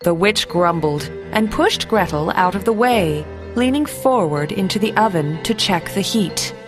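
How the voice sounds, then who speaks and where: A woman storyteller reading a scripted bedtime fairy tale for children in a measured, expressive way. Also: mid-range voice, music in the background.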